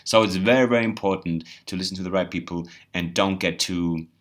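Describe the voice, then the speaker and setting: A man's voice: low-pitched, a little fast, a bit bright. A man from Germany with a German accent, a native German speaker, giving a rambling, earnest casual monologue as a crypto vlogger. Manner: rambling, earnest, repeats words and says "you know".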